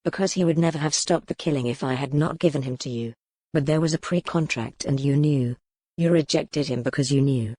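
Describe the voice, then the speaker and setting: A bookish older nobleman and father , played by a woman narrator, speaks in a lowered voice. He is gentle yet insistent, earnest and pleading, in a dry, close audiobook sound. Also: brisk, dry recording. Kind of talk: audiobook character acting.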